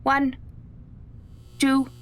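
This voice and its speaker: An actress playing a cool, commanding lead experimenter in an audio drama, giving deliberate orders. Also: female voice, high voice, monotone.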